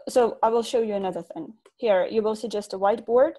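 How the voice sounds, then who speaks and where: A woman tutor from Ukraine giving an online English lesson over a video call. Her voice is mellow and warm, middle in pitch, and her explanations come slowly and patiently. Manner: patient, clear teacher explanations.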